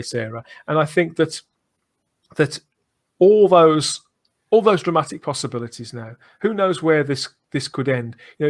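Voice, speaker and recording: A man hosting a podcast in casual discussion, talking enthusiastically and discursively. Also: a little high. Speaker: podcast host.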